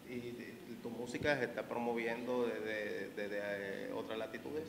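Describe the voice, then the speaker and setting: A man's voice: lowish voice, fairly even intonation, normal speed, creaky. A middle-aged man hosting an entertainment interview, asking plain questions and repeating words as he stalls. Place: indoor event room with reverb. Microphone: handheld mic. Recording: echoey room with faint noise.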